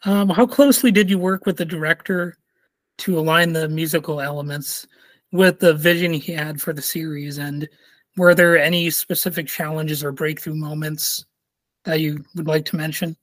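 A man's voice: a little high, steady tone, moderate pace, fairly bright tone, no room sound. A man hosting a podcast, asking prepared questions with filler ums. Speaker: podcast host. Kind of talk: interview questions.

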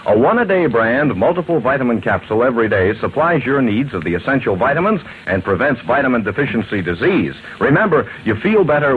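Animated American vintage radio ad man. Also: mid-range voice. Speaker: commercial announcer. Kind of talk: scripted 1940s radio advertisement. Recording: narrow, noisy vintage radio recording.

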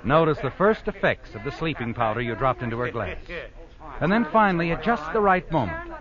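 A man's voice: medium pitch, big pitch swings, brisk, crowd noise, natural voice. A man acting as the ominous, insinuating narrator of an old-time radio mystery, addressing the schemer as you. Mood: suspenseful. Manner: dramatic, insinuating. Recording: muffled old radio recording with music.

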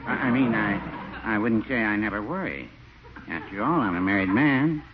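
An actor playing a mild, fussy, absent-minded shopkeeper, hesitant and polite, in a 1940s radio comedy. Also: male voice, on the low side, expressive, moderate pace, close to an everyday voice. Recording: tinny, hissy old radio recording.

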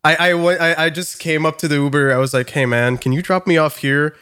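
A man from Canada co-hosting a casual podcast, excitable and profane, acting out the quotes in his story. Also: on the high side, brisk, smooth. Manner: excitable, swears a lot, acts out quotes. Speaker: podcast co-host.

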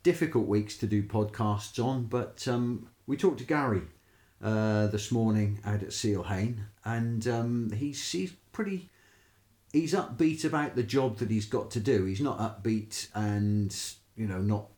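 Male podcast host, casual football talk, lower register, echoey room sound. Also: unhurried, a little mellow. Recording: roomy, echoey voice pickup.

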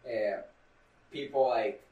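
A man's voice: medium pitch, slow pace, narrow, tinny audio. A young man co-hosting a podcast in casual chat, with loose, filler-heavy speech and non-native grammar. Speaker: podcast co-host. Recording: echoey room, far from mic.

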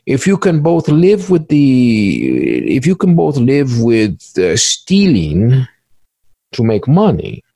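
A man playing an easygoing, boastful male cybercriminal in an interview role-play, halting and repeating phrases in stilted formal wording. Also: mid-range voice, huge pitch swings, vocal fry, mellow, husky, dry recording, clean studio sound, heavily acted. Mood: measured, matter-of-fact.

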